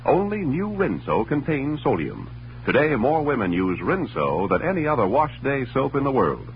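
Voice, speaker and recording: A man's voice: medium pitch, huge pitch swings, moderate pace. A man reading a scripted 1940s radio advertisement with an emphatic sales delivery. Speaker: commercial announcer. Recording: muffled old radio recording, hum, noise.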